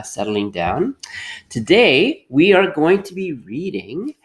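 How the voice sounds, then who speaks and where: A man's voice: fairly high pitch, darkish tone. A middle-aged man leading an interactive read-aloud for young children as their teacher, encouraging them and repeating his questions. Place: quiet workshop at home.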